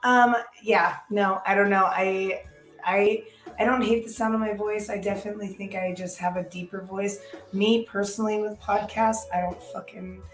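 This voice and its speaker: A woman's voice: medium pitch, fairly even intonation, normal speed, echoey room, soft background music, poor audio. A woman co-hosting a podcast in casual chat, blunt and crude, laughing easily. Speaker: podcast co-host.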